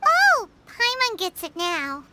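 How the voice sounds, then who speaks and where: A woman voicing a tiny, excitable fairy-like companion who talks about herself in the third person, in a game cutscene. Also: extremely high pitch, takes it slowly, very noisy, heavily acted. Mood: chirpy.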